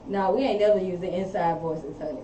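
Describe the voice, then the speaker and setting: A woman's voice: fairly low pitch, fairly quick. A woman chatting casually on a podcast panel, telling stories with lots of laughter. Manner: animated storyteller, laughs often. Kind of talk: casual group chat. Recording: roomy, distant mic, faint background noise.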